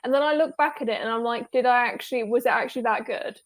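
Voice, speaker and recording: A woman's voice: on the high side, fast talker. A woman hosting an online book club discussion, chatting casually with lots of fillers and restarts. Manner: chatty, rambling with many fillers. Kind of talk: casual video call chat.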